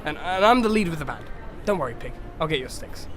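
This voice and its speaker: Man as fast, high-voiced horse bandleader. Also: big pitch swings. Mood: reassuring. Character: responsible, defensive male horse, lead of band. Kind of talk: amateur radio drama acting. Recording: noisy outdoor ambience.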